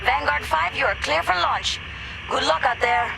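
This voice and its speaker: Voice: high voice, normal speed, gravelly, background noise. An actor playing a friendly, eager, chatty starfighter mechanic in a video game, talking informally over music. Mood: businesslike, upbeat. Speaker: hangar mechanic. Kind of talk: cinematic video game dialogue.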